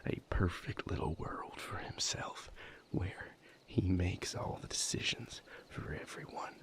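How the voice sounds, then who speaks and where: A bitter, wounded young man, acted by a man for an intimate audio drama, confiding in a deep voice that slips toward a whisper. He speaks slowly and haltingly, in broken phrases with gravel at the edges. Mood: resentful, brooding. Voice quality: breathy, gravelly low murmur. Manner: halting, confessional, broken phrases with long pauses. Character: bitter, wounded young man breaking from a manipulative vampire patriarch. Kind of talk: intimate audio roleplay monologue.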